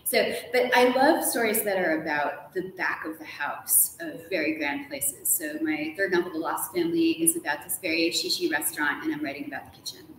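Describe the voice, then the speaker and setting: A woman's voice: low-pitched, fairly quick, hardly any pauses, a bit bright. A middle-aged woman chatting casually as an interview guest, gushing and talkative, often saying "like". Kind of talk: animated casual conversation. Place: large hotel room, indoors. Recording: roomy echo with background noise.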